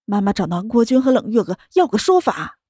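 A woman voicing an indignant middle-aged mother who scolds insistently in a raised, high voice. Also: sing-song, brisk, darkish tone, slightly rough, no room sound, studio quality. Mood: indignant scolding.

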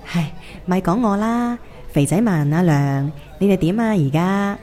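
A woman acting a successful but weary career woman in a Cantonese radio play. Her voice is mellow and a little low, with a modest, self-deprecating manner. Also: lively intonation, unhurried. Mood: sociable. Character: successful female finance worker, modest, weary former class monitor. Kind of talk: radio drama dialogue.

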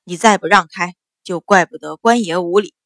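A woman's voice: medium pitch, animated, moderate pace, a bit bright, dry recording, clean studio sound. A woman voicing an arrogant male government officer in an audiobook, a sneering, blustering bully who howls like a coward. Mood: sneering, threatening.

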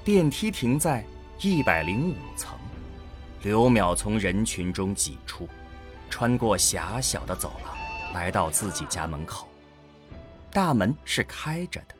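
A man narrating a scripted audio drama as an expressive storyteller. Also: on the low side, measured pace, over music.

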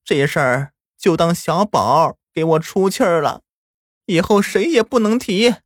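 A man voicing an old grandmother who speaks slowly and softly in a storybook tale. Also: a little high, lively intonation, no room sound, clean studio sound, voice pushed up. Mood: stern. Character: old grandmother, soft and doting.

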